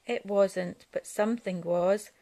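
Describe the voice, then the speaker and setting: A woman's voice: medium pitch, steady tone, slow pace, dark tone. A woman narrating a children's picture book aloud as a storyteller.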